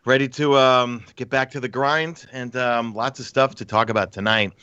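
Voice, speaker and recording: A man co-hosting a live podcast, a little nasal from a stuffy nose, talking in a relaxed, casual way. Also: medium pitch, moderate pace, clear, resonant tone, no room sound. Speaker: podcast co-host. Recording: dry, dull lo-fi mic.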